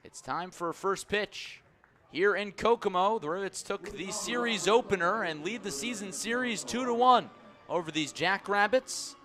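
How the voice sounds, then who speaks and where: A man calling baseball live on the radio as play-by-play announcer, measured between pitches and excitable on big plays. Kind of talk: live radio sports commentary.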